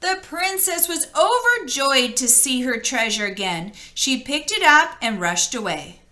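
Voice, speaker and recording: A woman reading a fairy tale aloud as an expressive storyteller, with deliberate dramatic emphasis. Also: high-pitched. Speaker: storybook reader.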